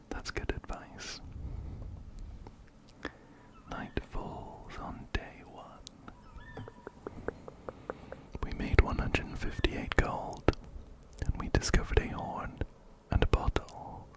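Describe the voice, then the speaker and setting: A man whispering gently and breathily in an ASMR game playthrough, up close to a binaural microphone, unhurried and softly repeating words. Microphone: close-up binaural microphone, ear to ear.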